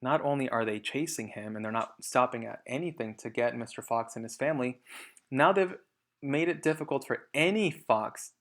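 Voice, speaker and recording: A man's voice: mid-range voice, moderate pace, fairly bright tone. Man reading a children's story aloud. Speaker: storybook reader. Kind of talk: children's read-aloud narration with explanatory asides.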